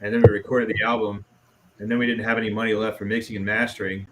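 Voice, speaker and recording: A man's voice: lowish voice, level tone, quick pace, poor audio. A man chatting casually as a guest musician in an interview, laid-back and self-deprecating. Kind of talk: casual chat, being interviewed.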